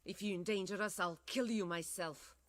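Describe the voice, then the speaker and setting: A woman's voice: mid-range voice, steady tone, normal speed, fairly bright tone, natural voice. An actress voicing a fierce young woman resistance fighter who speaks curtly and with threat. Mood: hard, demanding. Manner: curt and threatening.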